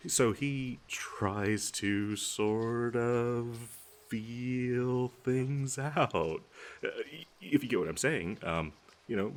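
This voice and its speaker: A male actor as a lovesick, obsessive young man in an intimate audio roleplay. His voice sits low and moves slowly, tripping over itself with stammers and nervous giggles as he addresses his best friend. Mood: coy, nervous.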